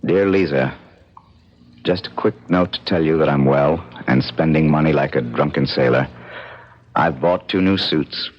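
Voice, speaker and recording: An actor with an American accent playing an excitable, haunted struggling painter in a radio drama, in a theatrical manner. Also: male voice, extremely low pitch, measured pace, slight background noise. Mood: calm, relieved. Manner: emotional, theatrical. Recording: dull lo-fi vintage radio recording.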